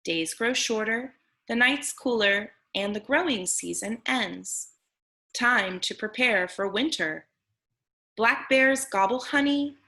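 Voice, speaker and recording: Woman teacher reading aloud, bright creaky voice. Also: on the high side. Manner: explanatory, breaking down words simply. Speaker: teacher reading a picture book aloud to children. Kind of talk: read-aloud lesson with simple explanations. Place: online video meeting with screen sharing.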